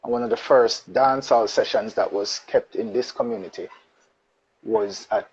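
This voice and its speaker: A man from Jamaica with a Jamaican accent, a guest on a casual live-stream interview, speaking in a relaxed way with patois phrases. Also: medium pitch, moderate pace, gravelly, husky. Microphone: phone on a live video call. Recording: dull lo-fi live-stream audio with faint noise.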